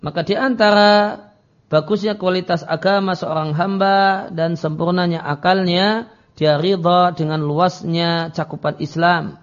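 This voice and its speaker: A man's voice: high-pitched, fairly even intonation, a little slow. A man giving an Islamic lecture as a teacher, reading Arabic phrases and explaining them didactically in a dull, lo-fi recording.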